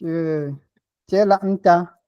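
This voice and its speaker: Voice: medium pitch, fairly even intonation, very slow, dark tone, smooth, no echo, dull audio. A language teacher on a video call explaining phrases patiently and repeating words.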